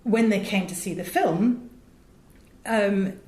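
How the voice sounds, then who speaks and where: A woman's voice: mid-range voice, slow pace, a little mellow. A middle-aged English woman talking as an interview guest, chatty, articulate and given to digressions as she tells stories. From England. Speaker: interview guest, voice director and radio producer. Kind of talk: conversational interview, storytelling. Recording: echoey, roomy sound.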